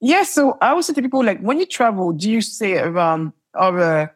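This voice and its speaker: Husky-voiced woman telling lively stories. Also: medium pitch, a little fast. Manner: animated storytelling, laughs often, repeats words. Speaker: entrepreneur guest. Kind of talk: conversational interview.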